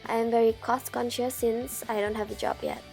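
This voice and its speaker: A woman's voice: on the high side, fairly quick, creaky, darkish tone. Young woman student from Indonesia, plain careful journal read, faint music bed. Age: young adult. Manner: plain, careful reading with a few slips of grammar. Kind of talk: read-aloud video journal narration.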